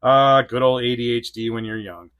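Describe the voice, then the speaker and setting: A man's voice: mid-range voice, fairly even intonation, moderate pace, clear, resonant tone, no room sound, lo-fi sound. Giggly rambling male toy reviewer. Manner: rambling, giggly, lots of fillers. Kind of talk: casual unboxing review.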